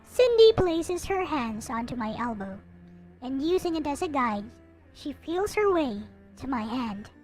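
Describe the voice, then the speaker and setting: A woman reading a visual novel aloud in an expressive, theatrical way, with some casual commentary. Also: high voice, unhurried. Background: background music.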